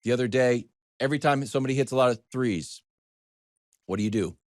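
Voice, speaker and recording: An American man giving conversational sports talk as a commentator, animated, digressive and self-deprecating as he tells stories. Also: medium pitch, fast talker, no room sound, studio quality.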